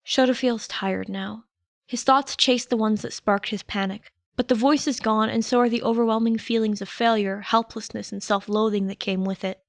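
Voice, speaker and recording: A woman's voice: a little high, fairly even intonation, moderate pace, vocal fry, no room sound. A woman reading a story aloud as a scripted audiobook narrator, close to the microphone. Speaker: podfic narrator. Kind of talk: scripted narration of fan fiction.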